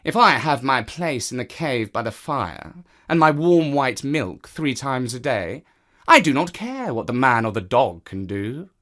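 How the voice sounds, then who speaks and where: A storyteller voicing a sly, proud, aloof cat in a children's tale, with a drawn-out, self-satisfied delivery. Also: mid-range voice, big pitch swings, fairly bright tone. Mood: unconcerned.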